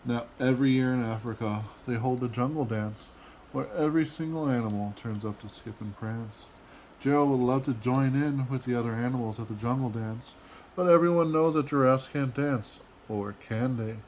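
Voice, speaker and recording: A man reading a children's storybook aloud as a father would, with measured, expressive storytelling. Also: medium pitch, slight background noise, rough recording. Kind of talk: storybook read-aloud in rhyme.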